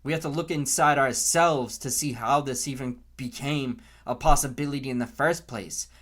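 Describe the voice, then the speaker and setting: Slow, deliberate young American man. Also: medium pitch, fairly bright tone. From Canton, Massachusetts, US. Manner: earnest, deliberate, repeats phrases for emphasis. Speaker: podcast host. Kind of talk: unscripted opinion monologue.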